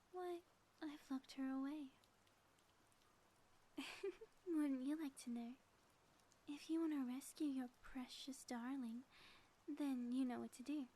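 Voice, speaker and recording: Woman playing a grandiose, high-voiced female supervillain. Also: dark tone, slight background noise, lightly acted. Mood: gloating, taunting. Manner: grandiose, teasing, dramatic pauses. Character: theatrical, gloating female supervillain playfully taunting a superhero. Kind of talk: scripted audio roleplay, ASMR.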